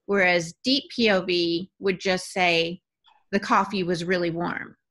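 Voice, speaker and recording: A woman's voice: on the low side, fairly even intonation, a little slow, fairly bright tone. A woman co-hosting a podcast, casually asking questions and thinking through examples. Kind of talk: casual discussion.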